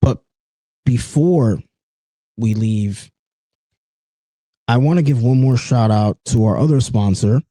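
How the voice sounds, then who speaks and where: Man in his late thirties, earnest podcast host, warm mellow voice, dry close sound. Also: mid-range voice, takes it slowly, pauses often, no echo. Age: 30s. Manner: earnest, personal, with frequent "you know" and "folks". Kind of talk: reflective solo podcast talk.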